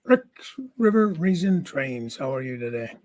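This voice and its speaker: A man with a raspy voice hosting a casual livestream from home, greeting viewers by name and chatting. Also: mid-range voice, big pitch swings, moderate pace, darkish tone. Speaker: livestream host.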